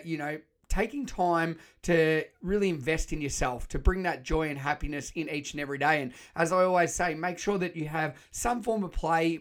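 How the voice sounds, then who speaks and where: A man hosting a podcast, speaking in an enthusiastic, effusive and conversational way. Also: on the high side. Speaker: podcast host. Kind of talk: upbeat conversational podcast interview.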